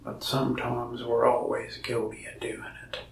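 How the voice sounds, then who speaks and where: A young man talking softly and partly whispering as a folksy mask shop salesman in an ASMR roleplay, rambling in an easy way. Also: mid-range voice, completely flat delivery, normal speed, vocal fry, reverberant, distant mic, a little hiss or hum, rough recording. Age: young adult. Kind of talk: soft-spoken ASMR roleplay. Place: indoor room before a wall of masks.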